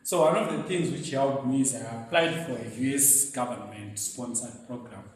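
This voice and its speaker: A man's voice: medium pitch, moderate pace. Zimbabwean man speaking in an echoey hall. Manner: storytelling, animated, laughing at himself. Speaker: guest speaker. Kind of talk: motivational speech at a luncheon. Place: event venue hall. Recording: very echoey hall, mic set far off.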